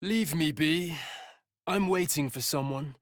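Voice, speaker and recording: A man's voice: a little high, slow, no echo, studio quality. An actor playing an arrogant, sneering young male vampire spawn in a video game, haughty and taunting, with a drawling delivery. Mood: haughty, smug. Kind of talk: dramatic video game character acting.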